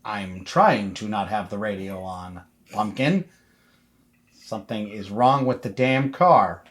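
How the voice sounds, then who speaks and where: A man's voice: fairly low pitch, takes it slowly, a bit bright. Man playing an exasperated, sarcastic suburban dad. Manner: exasperated, clipped scolding.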